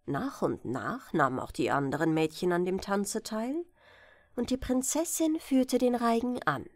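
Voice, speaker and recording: Slow, expressive woman reading fairytales. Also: mid-range voice, dry recording, clean studio sound. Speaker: audiobook narrator.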